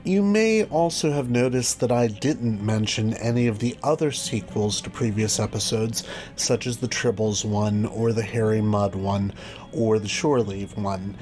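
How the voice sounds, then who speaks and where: A man's voice: lowish voice, measured pace, darkish tone. A man presenting a scripted video review as host, with wry, dry asides. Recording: music behind the voice. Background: background music.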